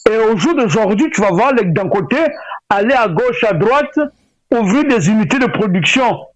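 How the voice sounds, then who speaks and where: A middle-aged man with a Congolese French accent, speaking as an interview guest in a halting way with repeated words. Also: high voice, lively intonation, no room sound. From Brazzaville, Republic of the Congo. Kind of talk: spoken interview, opinionated discussion. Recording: dull lo-fi radio sound.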